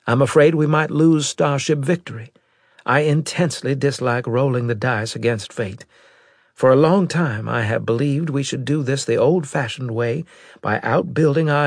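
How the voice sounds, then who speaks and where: Man voicing an earnest female brigadier, pitched up. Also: husky, dry recording, clean studio sound. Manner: formal, emphatic military address. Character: earnest, loyal female military brigadier, worried but resolute. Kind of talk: audiobook character dialogue.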